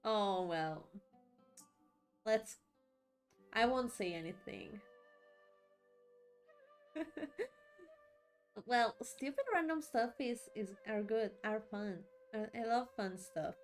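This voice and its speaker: Female game streamer, slightly high voice, rambling and giggly casual commentary over faint music. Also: expressive, slow pace, pauses often. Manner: giggly, rambling, repeats herself. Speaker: livestreamer. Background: game music and dialogue.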